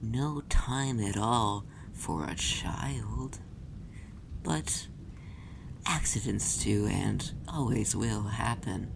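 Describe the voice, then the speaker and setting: A man playing a silky, possessive demon in an ASMR roleplay, speaking deliberately and teasingly in a low, gravelly voice. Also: noisy background, exaggerated voice. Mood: wry, unhurried storytelling. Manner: slow, deliberate, teasing, theatrical. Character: possessive, silky demon claiming an owned soul.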